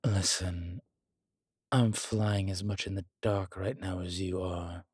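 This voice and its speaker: A man playing a long-lived demonology professor in an audio roleplay, calm, protective and gently wry, speaking in a measured, deliberate way. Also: low voice, slightly rough, no echo, studio quality, heavily acted. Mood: grave, explaining. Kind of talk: slow intimate audio roleplay acting.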